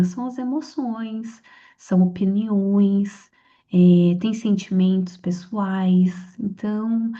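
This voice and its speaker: A young Brazilian woman, hoarse from a cold, chatting through her exam study notes with lots of fillers and restarts. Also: medium pitch, dark tone, dull audio. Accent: Brazilian Portuguese. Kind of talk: casual study lesson to camera.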